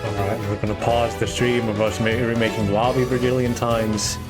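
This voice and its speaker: A man's voice: mid-range voice, little pitch movement, brisk, over loud music. A man from Germany, the tournament organizer, giving casual, rambling live commentary on his own and chatting with viewers. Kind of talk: solo live esports commentary.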